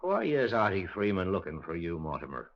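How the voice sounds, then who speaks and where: Lowish-voiced, animated British police sergeant. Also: male voice, brisk. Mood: respectful, inquiring. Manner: respectful, plain-spoken. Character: deferential, questioning male police detective sergeant, loyal subordinate. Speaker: actor playing a detective sergeant. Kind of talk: 1950s radio drama acting. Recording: dull, lo-fi 1950s radio recording.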